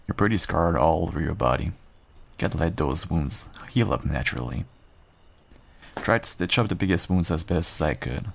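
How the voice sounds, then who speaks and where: Man playing a gruff, deep-voiced half-wolf rogue. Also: full character voice. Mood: dry, scolding. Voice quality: low, gravelly, husky. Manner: unhurried, dry, understated, self-deprecating. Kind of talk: intimate fantasy roleplay audio acting.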